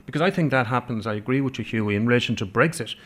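A man's voice: medium pitch, a bit bright. A man from Connemara with an Irish accent whose first language is Irish, speaking earnestly on a radio panel with many fillers. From Connemara, Ireland. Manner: earnest, halting with many fillers, laughs easily. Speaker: panel guest.

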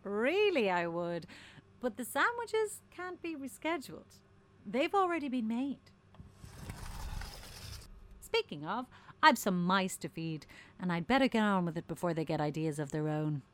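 Casting: a blithe, wry radio-show colleague, swooping intonation, archly polite, dark comedy. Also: female voice, medium pitch, very animated, measured pace, a little hiss or hum. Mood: breezy, mock-devoted. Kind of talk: darkly comic radio drama acting.

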